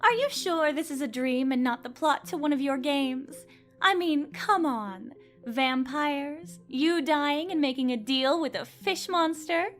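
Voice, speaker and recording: A woman playing a caring but bossy, teasing young woman in an audio drama, chiding and expressive. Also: sits high, unhurried, faint music behind.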